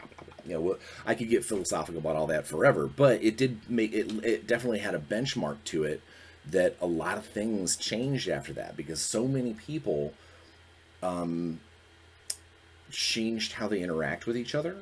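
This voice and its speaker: Deep-voiced earnest man in his forties. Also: a little mellow, slightly rough. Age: 40s. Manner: earnest, reflective, halting. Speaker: podcast host. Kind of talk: candid solo talk.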